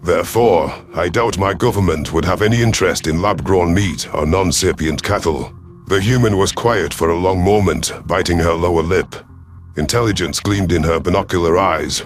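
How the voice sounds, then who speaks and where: A man voicing a proud, vengeful elderly alien reptilian commander in an audio drama, speaking in a formal, measured, sardonic way. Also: deep voice, lightly acted. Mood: sardonic, wary. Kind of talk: audio drama first-person narration and dialogue.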